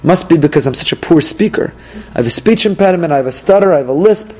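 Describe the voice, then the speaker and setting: A man's voice: on the high side, expressive, quick pace, a little mellow. A man playing dejected, self-doubting Moses. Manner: self-pitying, exasperated. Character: Moses, a dejected older leader doubting himself.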